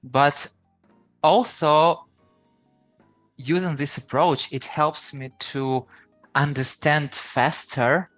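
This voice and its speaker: A man's voice: a little high, steady tone, very slow, pauses often, a bit bright, dry recording, soft background music. A young man with a Ukrainian accent, a podcast guest chatting casually and rambling a little, correcting himself and laughing easily. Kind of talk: casual interview chat.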